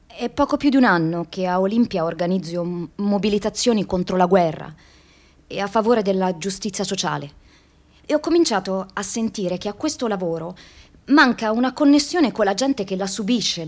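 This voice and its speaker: A woman's voice: medium pitch, expressive, brisk, a little mellow, natural voice. An actress playing an earnest, reflective young woman activist in an intimate, confessional radio theatre monologue.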